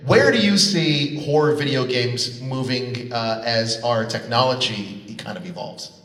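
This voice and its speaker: A man's voice: mid-range voice, measured pace, fairly bright tone. A man moderating a live panel interview in a chatty, informal way. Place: large convention hall. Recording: echoey hall, distant PA sound.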